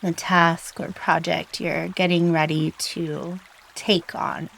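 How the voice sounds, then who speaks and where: A woman leading a guided meditation in a soothing, unhurried way, leaving long pauses between short phrases. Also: on the low side, little pitch movement, vocal fry, a bit bright, poor audio.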